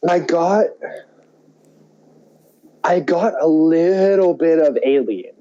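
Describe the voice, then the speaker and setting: A man co-hosting a podcast in casual chat, excitable, opinionated and given to rambling. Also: a little high, slow. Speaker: podcast co-host. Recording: dull, muffled lo-fi sound.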